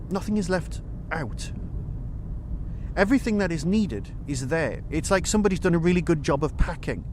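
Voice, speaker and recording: A man's voice: on the high side, slight background noise. A man hosting a review podcast, talking in an emphatic, conversational way full of rhetorical questions. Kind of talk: enthusiastic spoken audio drama review.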